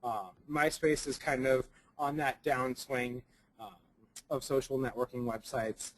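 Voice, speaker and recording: A young man with a slightly raspy voice from a cold gives a conference presentation, halting often with ums and restarts. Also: mid-range voice, fairly even intonation, low-quality recording.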